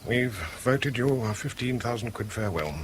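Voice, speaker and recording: An actor playing a flustered, evasive British managing director in a 1970s radio drama, hesitant, repetitive and apologetic. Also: male voice, mid-range voice, animated, moderate pace, lightly acted. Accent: British English. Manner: hesitant, stammering, repeats himself. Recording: 1970s radio recording, faint background noise.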